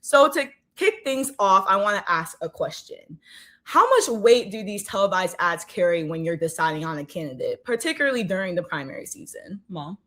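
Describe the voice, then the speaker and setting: A woman's voice: mid-range voice, very animated, moderate pace, fairly bright tone. Upbeat young woman hosting a podcast. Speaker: podcast host. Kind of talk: scripted podcast intro and hosting.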